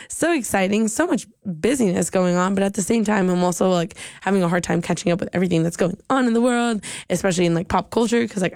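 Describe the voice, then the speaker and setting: A young woman hosting a college radio show, chatting casually and rambling upbeat, her voice slightly hoarse and giving out. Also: on the low side, darkish tone, dry recording, studio quality. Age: young adult. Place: radio studio booth. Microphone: studio microphone.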